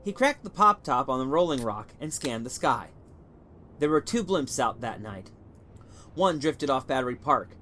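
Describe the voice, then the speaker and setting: Male narrator for short fiction, mid-range pitch, measured dramatic storytelling, faint noisy ambience behind. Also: fairly bright tone. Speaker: story reader.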